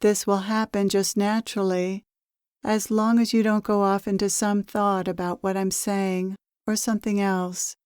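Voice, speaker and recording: A woman leading a guided meditation as a spiritual teacher, speaking in a soothing, measured and gently instructive manner with pauses. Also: mid-range voice, little pitch movement, smooth, no room sound, clean studio sound.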